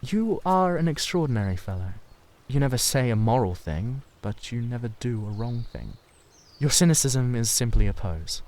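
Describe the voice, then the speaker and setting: A man voicing a sensitive, secretive young painter in a dramatised audiobook, earnest and halting as he confides. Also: mid-range voice, lively intonation, a little mellow, natural voice. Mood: mildly reproachful.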